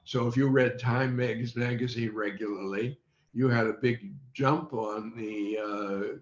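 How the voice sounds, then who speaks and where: A man in his eighties or older reminisces as an interview guest, halting and measured, with long pauses, on a video call. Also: fairly low pitch, slight background noise. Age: 80s or older. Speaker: interview guest, former radio quiz show child panelist. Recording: dull, roomy video call audio.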